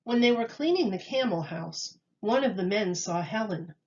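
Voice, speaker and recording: A woman reading a children's story aloud with expressive, measured storytelling. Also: mid-range voice, muffled recording. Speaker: storybook reader.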